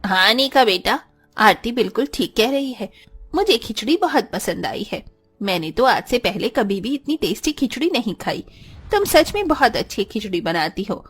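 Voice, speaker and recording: Casting: actress as encouraging cartoon mum, middle-aged, affectionate, fairly high voice. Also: female voice, big pitch swings, moderate pace, a bit bright, husky, exaggerated voice. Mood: affectionate encouragement. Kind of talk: cartoon story acting.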